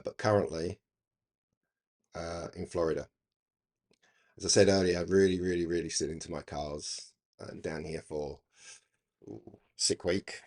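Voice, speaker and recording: A man's voice: sits low, vocal fry, a little mellow. A middle-aged British man talking casually and unscripted as a hobbyist video host, rambling hesitantly and repeating words as he goes. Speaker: YouTube radio hobbyist host. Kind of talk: casual unscripted vlog talk.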